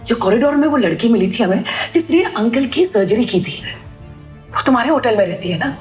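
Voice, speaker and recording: A woman's voice: fairly high pitch, animated, brisk, darkish tone, faint music behind, natural voice. A woman playing an inquisitive, affectionate, insistent aunt in an audio drama. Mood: probing. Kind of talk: Hindi audio drama acting.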